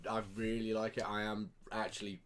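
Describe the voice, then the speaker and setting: A man's voice: lowish voice, monotone, fairly quick, a bit bright, clear, resonant tone. A man co-hosting a podcast in a studio, bantering casually in a blunt, jokey way. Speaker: podcast co-host. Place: indoor studio.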